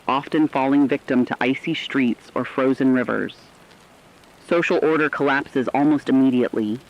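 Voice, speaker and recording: Guy playing a radio news correspondent, mid-pitched, formal and grave, kinda muffled lo-fi sound. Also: close to an everyday voice. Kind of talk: scripted radio news report. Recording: dull lo-fi radio-drama sound.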